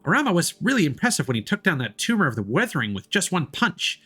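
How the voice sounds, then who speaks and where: Man putting on a high-pitched excitable little fairy companion. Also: quick pace, slightly rough, faint music behind, full character voice. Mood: admiring. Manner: exclamatory, eager. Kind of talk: voicing video game dialogue.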